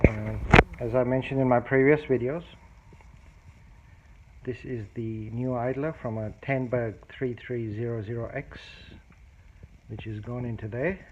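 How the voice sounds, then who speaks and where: A man narrating a casual hands-on repair demonstration as a hobbyist, explaining things in a matter-of-fact, unhurried way. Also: medium pitch. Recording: dull lo-fi phone-video sound, faint noise.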